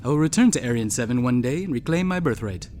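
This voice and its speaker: A man's voice: mid-range voice, expressive, fast, a little mellow, a little hiss or hum, natural voice. A man playing a pompous deposed alien prince in a sci-fi comedy audio drama, speaking with formal, theatrical grandeur. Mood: imperious.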